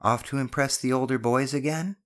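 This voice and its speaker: A caring audiobook mother played by a male narrator, lifted well above his natural pitch. She is gently stern and a little self-conscious, and she chides with plain affection. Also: animated, normal speed, natural voice. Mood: teasing. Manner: chiding but affectionate. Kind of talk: audiobook character dialogue.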